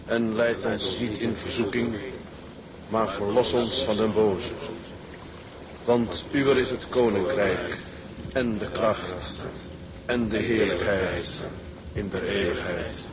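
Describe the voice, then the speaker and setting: Deep-voiced, grim sea captain. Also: male voice, steady tone, slow, long pauses, over a noisy crowd, low-quality recording. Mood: solemn. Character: grim, grief-stricken middle-aged male tugboat captain. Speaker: actor. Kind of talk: Dutch drama acting.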